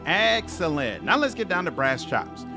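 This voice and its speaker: A man's voice: high voice, very animated, normal speed, gravelly, music in the background, full character voice. A man playing a cocky, flippant son in a fantasy game, brash and coaxing in manner. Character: cocky, flippant, persuasive young hero son. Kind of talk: animated game voice acting.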